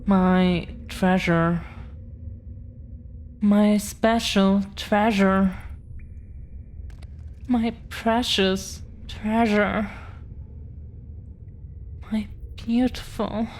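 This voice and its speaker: A woman playing a protective, affectionate dragon-blooded girlfriend in an intimate audio roleplay, speaking in a halting, earnest way. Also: medium pitch, steady tone, a little mellow. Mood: tender.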